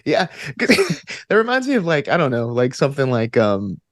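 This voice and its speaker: A man's voice: mid-range voice, huge pitch swings, moderate pace, no room sound. Man chatting casually, close dry sound. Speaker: podcast guest, gamer and game master. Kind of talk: casual conversational interview.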